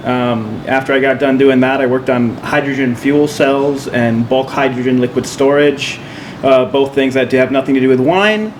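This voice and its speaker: A man's voice: mid-range voice, moderate pace. Man, winemaker, podcast co-host, laid-back, rambling, noisy hum behind. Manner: relaxed, rambling storytelling with fillers. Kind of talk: casual podcast chat. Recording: steady background noise.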